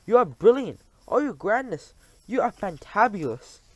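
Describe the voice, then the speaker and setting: A man reading aloud and voicing a crowd of eager female witches who gush and flatter in shrill tones. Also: normal speed, dry recording. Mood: fawning. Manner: shrill, gushing, sycophantic.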